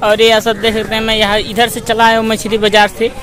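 A man's voice: high-pitched, completely flat delivery, fast, over music. A young man walking through a village market with his phone, chatting to viewers and haggling with sellers. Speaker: village vlogger. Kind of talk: walking market vlog narration. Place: busy outdoor village market. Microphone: handheld phone camera. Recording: crowd noise of outdoor market.

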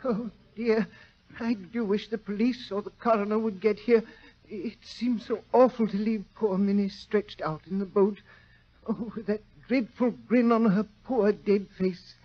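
A man's voice: high-pitched, fairly even intonation, measured pace. An actor playing a meek, flustered, fussy man who dithers and hesitates, in 1940s radio drama style. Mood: nervous, mournful. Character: meek, fussy, flustered brother of poisoning victim. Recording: muffled old-time radio recording.

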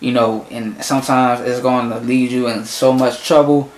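Young male reaction vlogger, animated and slangy, mid-pitched voice, recorded in a roomy-sounding bedroom. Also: moderate pace, a little hiss or hum. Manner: animated, slangy, talks back to the song. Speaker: music reaction youtuber. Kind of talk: casual reaction commentary. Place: small bedroom in front of a closet door. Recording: echoey room.

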